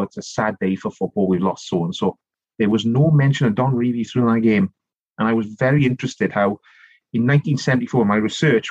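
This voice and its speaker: A middle-aged man from South Wales with a Welsh accent, telling long animated stories as a podcast guest, full of restarts and asides. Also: mid-range voice, breathy edge, no room sound, lo-fi sound. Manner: animated storytelling, rambling with many restarts and "you know". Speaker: guest, author and politician. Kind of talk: conversational podcast interview.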